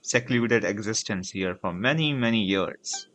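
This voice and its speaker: A man's voice: lowish voice, unhurried, a bit bright, dry recording. A man with an Indian accent and native Hindi, reading game text aloud and reacting casually as he streams. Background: game music.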